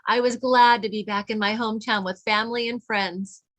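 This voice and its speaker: A woman's voice: fairly high pitch, fairly even intonation, normal speed, fairly bright tone. A woman reading a children's picture book aloud, with teacherly emphasis for young listeners. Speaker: read-along co-reader.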